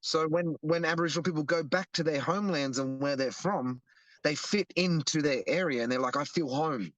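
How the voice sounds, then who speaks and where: A young Australian man talks in an earnest, explanatory way, circling back and restarting his sentences. His voice sits a little high for a man. The recording is close and dry. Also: brisk, a bit bright, dry recording, low-quality recording. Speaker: guest, activist. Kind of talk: casual interview.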